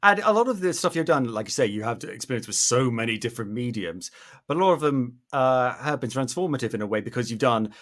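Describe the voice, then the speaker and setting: A man's voice: mid-range voice, lively intonation, fast, a bit bright. Man hosting a conversational interview. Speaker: podcast host interviewer.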